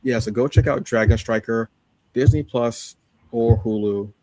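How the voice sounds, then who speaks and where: A man's voice: mid-range voice, a little slow, mellow, dry recording, poor audio. A man co-hosting a podcast, chatting casually and enthusiastically with slangy emphasis as he gives recommendations. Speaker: podcast co-host. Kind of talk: casual chat, giving recommendations.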